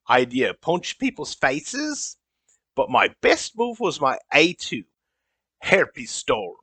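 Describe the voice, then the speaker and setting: Comedy elf mage: foreign accent, sharp bright tone, unhurried, haughty, indignant, theatrical. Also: male voice, fairly high pitch, huge pitch swings, husky, no echo, full character voice. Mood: boastful. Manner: haughty, dramatic.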